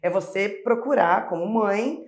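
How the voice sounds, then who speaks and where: A middle-aged woman, a mother being interviewed, talks warmly and animatedly, acting out quoted voices as she tells her story. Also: mid-range voice, measured pace. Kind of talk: conversational interview. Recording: echoey room.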